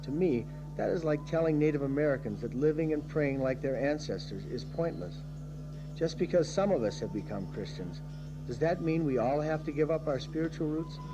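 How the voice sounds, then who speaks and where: A man from Ireland narrating a documentary in an earnest, measured first-person voice, telling of his own journey. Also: a little high, animated, highs cut off, over music. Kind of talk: reflective scripted narration.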